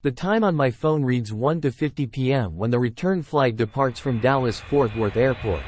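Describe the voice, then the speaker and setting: A man's voice: mid-range voice, moderate pace, no echo. A man reading scripted first-person story narration. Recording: music bed under the voice. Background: music.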